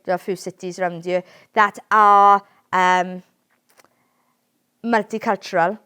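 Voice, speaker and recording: A woman's voice: fairly low pitch, fairly even intonation, measured pace, sharp tone, clear, resonant tone. Earnest Welsh woman, emphatic. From Wales. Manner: earnest and emphatic, keeps asking "right?". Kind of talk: casual political discussion.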